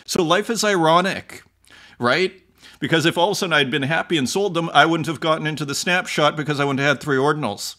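A man's voice: fairly high pitch, fast talker, sharp tone. A man in his forties, an artist talking casually to camera while reading viewer comments, rambling with fillers and restarts, in a room with bookshelves. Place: home room with bookshelves, indoors. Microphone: close webcam-style framing.

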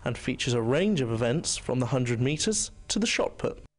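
Mid-pitched male news reporter. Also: big pitch swings, normal speed. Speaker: sports reporter. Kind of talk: scripted news package narration.

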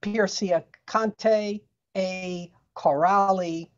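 A woman's voice: mid-range voice, fairly even intonation, extremely slow. A woman on a panel reading aloud and making brief remarks. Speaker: panel reader. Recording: dull, lo-fi video call audio.

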